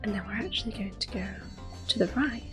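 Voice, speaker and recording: A woman giving gentle, soft-spoken ASMR gameplay commentary in a breathy whisper. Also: mid-range voice. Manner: gentle, unhurried. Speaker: gameplay host. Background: soft game music.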